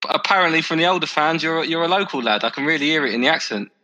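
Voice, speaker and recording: A man's voice: fairly high pitch, expressive, racing, a loud crowd. A man, a podcast founder bantering as a quiz contestant in a jokey, matey way.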